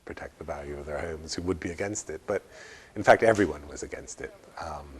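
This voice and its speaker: Very deep-voiced man, deliberate and wry. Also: a little hiss or hum. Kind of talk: unscripted interview commentary.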